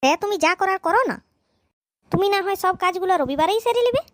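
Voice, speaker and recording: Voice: very high voice, animated, a bit bright, dry recording, studio quality. A voice actor playing a greedy, chatty wife and mother in a cartoon, nagging and scheming. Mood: defensive. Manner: nagging, scheming, talks fast.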